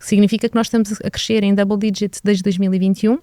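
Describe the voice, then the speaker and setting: Smooth-voiced Portuguese woman, mellow. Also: medium pitch, flat delivery, fast talker, no echo, studio quality. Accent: European Portuguese. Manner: earnest, reflective, frequent hesitations. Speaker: HR director guest. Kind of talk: business podcast interview.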